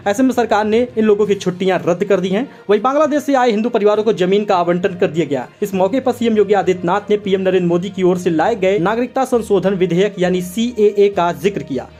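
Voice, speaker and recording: A man's voice: sits high, darkish tone. A man presenting scripted news narration for an online video channel, addressing viewers directly as friends. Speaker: host of a news explainer channel. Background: background music.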